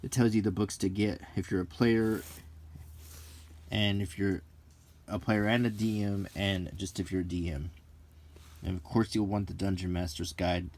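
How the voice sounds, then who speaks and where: A man's voice: deep voice, level tone, normal speed, pauses often, darkish tone. A middle-aged man talking casually and hesitantly in an unscripted, ASMR-like way, musing as he reviews something. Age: 40s to 50s. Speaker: host of a hobby video.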